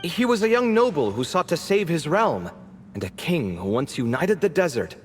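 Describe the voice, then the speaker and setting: A young scholar adventurer from a video game with a fairly high voice. His delivery swings widely, expressive and sarcastic, quick to get excited. It rings with long reverb over background music. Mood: curious, wondering.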